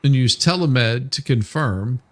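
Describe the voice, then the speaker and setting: A man chatting casually as a livestream co-host, telling stories in a halting way with repeated words. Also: medium pitch, animated, a bit bright.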